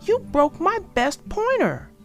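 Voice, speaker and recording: A woman voicing a bossy but kind young girl bear cub, lively and childlike, in a children's storybook. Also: extremely high pitch, slow, soft background music, pitched above the performer's own voice, heavily acted. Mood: angry taunting. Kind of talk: children's storybook read-aloud.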